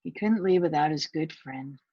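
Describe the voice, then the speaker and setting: Lowish, slightly husky woman reading a storybook. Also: moderate pace, dry recording, muffled recording. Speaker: volunteer story reader. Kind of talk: children's picture book read-aloud with asides to listeners.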